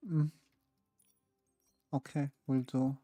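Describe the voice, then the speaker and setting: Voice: medium pitch, flat delivery, slow, mellow, faint music behind. A game streamer muttering casually and indecisively to themselves while playing. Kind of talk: casual gameplay commentary.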